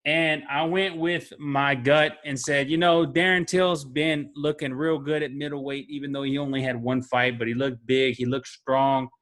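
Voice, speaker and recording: A man's voice: a little high, a bit bright. Laid-back man talking fights. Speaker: podcast co-host. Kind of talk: casual sports chat.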